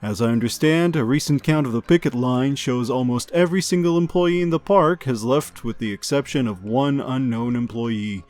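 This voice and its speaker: Male actor as chipper podcast newscaster, rambling and offhand, deadpan comedy delivery, music underneath. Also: mid-range voice, moderate pace, hardly any pauses, lightly acted. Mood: breezy, deadpan. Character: chipper, rambling male amusement park news podcast host. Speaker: podcast host. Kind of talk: scripted comedic news monologue.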